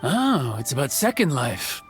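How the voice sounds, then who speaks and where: Gruff old carpenter, lively pitch. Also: male voice, measured pace. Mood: hesitant. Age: older. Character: grumpy, plain-spoken old male carpenter. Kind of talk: anime video game voice acting. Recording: loud game music behind.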